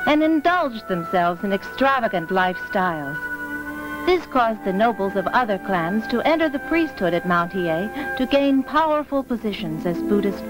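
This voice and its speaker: A woman narrating a scripted historical story for an animated film. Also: medium pitch, poor audio. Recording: music under the voice.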